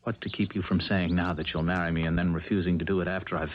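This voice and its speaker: An actor playing a wary, reluctant ex-con accomplice in a 1970s radio drama, speaking in a guarded, hesitant way. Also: male voice, deep voice, level tone, fast talker, slight background noise, close to an everyday voice. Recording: muffled vintage radio broadcast recording.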